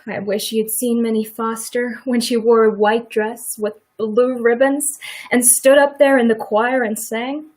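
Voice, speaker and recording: Woman as a farmer's wife, high-pitched, regretful, emphatic, quietly defiant, rural phrasing, radio play. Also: steady tone, measured pace, natural voice, low-quality recording. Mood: regretful, bitter. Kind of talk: stage-like radio play acting.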